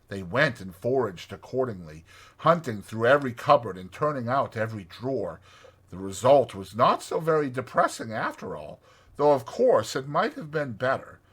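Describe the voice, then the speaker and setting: A man reads a classic storybook in a dramatized style. His delivery is highly animated, and his voice is bright with a husky grain. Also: normal speed. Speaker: audiobook narrator.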